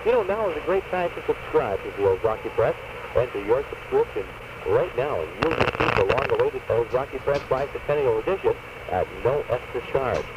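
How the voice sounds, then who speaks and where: A male country radio DJ delivers patter and promos with very lively intonation. The sound is distant and muffled, with clear noise, like AM radio taped on cassette. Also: medium pitch, brisk. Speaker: country music radio disc jockey.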